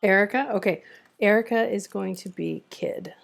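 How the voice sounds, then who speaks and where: A woman's voice: medium pitch, normal speed, gravelly. A woman casually hosting and organizing an online table read over a group voice chat.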